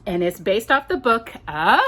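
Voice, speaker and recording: A woman telling a children's story in an expressive, dramatic manner, drawing out key words. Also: medium pitch, moderate pace. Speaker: storyteller, mother reading to her daughter. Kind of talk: children's storytelling with bits of song.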